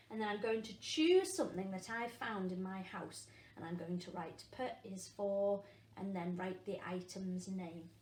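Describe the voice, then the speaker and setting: A woman, a primary school teacher, leading an interactive phonics lesson for young children, encouraging them and asking questions with pauses for answers. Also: medium pitch, a little mellow. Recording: echoey room with faint background noise.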